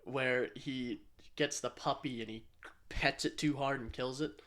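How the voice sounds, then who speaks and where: A man's voice: medium pitch, fairly even intonation, unhurried, fairly bright tone. Rambling man bantering casually. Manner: rambling, profane, self-deprecating. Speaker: podcast co-host.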